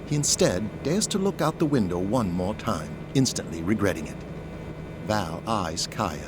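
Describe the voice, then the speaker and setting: A man's voice: lowish voice, lively intonation, a little slow, background noise. A man giving dramatic scripted narration as the narrator of an audio drama. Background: music.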